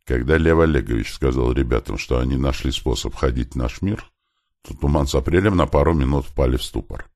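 Очень низкий мужской голос рассказчика. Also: male voice, rumbling low, fairly quick, darkish tone. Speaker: audiobook narrator. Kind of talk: scripted first-person fiction narration with lightly voiced dialogue.

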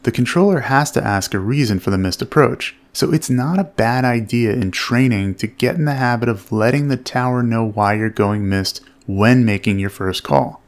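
A man narrating as a flight instructor in a measured, explanatory way over flight footage. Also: mid-range voice.

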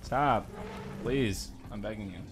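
A man giving casual, sarcastic and excitable gameplay commentary as the host of a gaming video. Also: slow pace. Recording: noisy low-level game recording.

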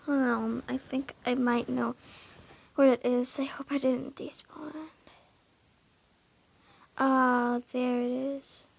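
A young woman with a high, creaky voice gives a casual, unhurried commentary while playing a horse game. Her speech is husky and hesitant, full of fillers, and she often drops to a whisper. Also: flat delivery. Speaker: gamer narrating her horse barn.

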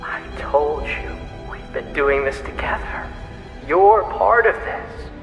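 An actor playing an unhinged, bitter, obsessive serial killer in an audio drama, halting and menacing, moving between breathy hush and outbursts. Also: male voice, a little high, lively intonation. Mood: eager, obsessive. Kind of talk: theatrical audio drama monologue. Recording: echoey reverberant hall, faint music behind.

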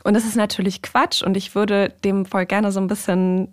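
A woman's voice: medium pitch, flat delivery, moderate pace. A young woman talking casually and informally as a podcast guest, explaining things in conversation. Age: young adult. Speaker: podcast guest, rabbinical trainee.

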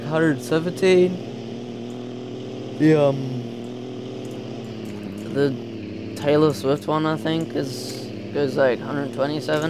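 Casual male gamer with noisy audio. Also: fairly high pitch. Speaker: gaming video host. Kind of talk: casual off-the-cuff game commentary. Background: car engine sounds from a driving game.

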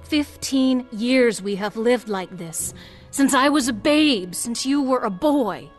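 A woman's voice: on the high side, lively intonation, slow. A proud survivor queen of the sewers, solemn and weary, issuing short commanding lines in an audio drama. Music plays beneath her voice. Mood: grave, bitter. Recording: music under the voice.

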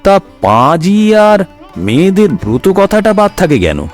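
A man's voice: high voice, wildly swooping pitch, unhurried, faint music behind. A man narrating a scripted comic cartoon story in a wry, theatrical way. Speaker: storyteller host.

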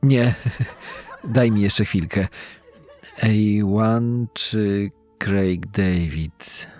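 A man's voice: on the low side, extremely slow, darkish tone. A man playing a hesitant, chuckling quiz contestant in a true-crime podcast reenactment, thinking aloud as he bluffs. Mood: hesitant, musing. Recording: music under the voice.

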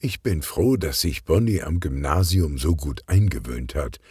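A man voicing a friendly, caring mother in a children's audiobook, gentle and conversational. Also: extremely low pitch, lively intonation, unhurried, husky, no echo, clean studio sound, full character voice. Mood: chatty, caring.

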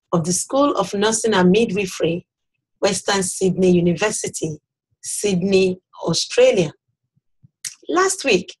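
A middle-aged woman with a Nigerian accent, a native Yoruba speaker, presenting a health program to camera from a small office in a slow, deliberate way. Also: medium pitch, breathy edge, low-quality recording. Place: small office with bookshelves, indoors.

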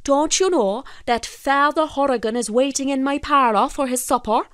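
Woman voicing a blustering fisherman, pitched high. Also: moderate pace, a bit bright, no room sound, studio quality. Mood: commanding. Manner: exclamatory, folksy, emphatic scolding. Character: hot-tempered Irish peasant fisherman, grown man, blustering and wheedling. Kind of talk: storybook character voice.

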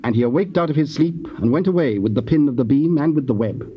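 A man narrating scripture as part of an audio drama, solemn, measured and formal. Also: fairly high pitch, expressive. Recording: dull, faintly noisy cassette recording.

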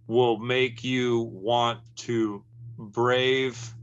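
A man's voice: medium pitch, little pitch movement, drawn-out words, clear, resonant tone. Man in his forties, plain talk on a dull line. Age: 40s. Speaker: formerly incarcerated interviewee. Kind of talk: testimony on a video call. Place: dark room with red backdrop. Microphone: webcam on a video call.

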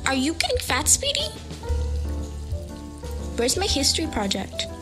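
Lady doing a little kid schoolgirl, high chattery voice, comic dub, music behind. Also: huge pitch swings, measured pace, full character voice. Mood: chattering. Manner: chattering, childlike, insistent. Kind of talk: motion comic voice acting.

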